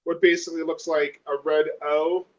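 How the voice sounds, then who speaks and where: Higher-voiced man on a dull lo-fi call. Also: little pitch movement, moderate pace. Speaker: player in a tabletop game. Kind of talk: casual game chat. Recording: dull lo-fi online call audio.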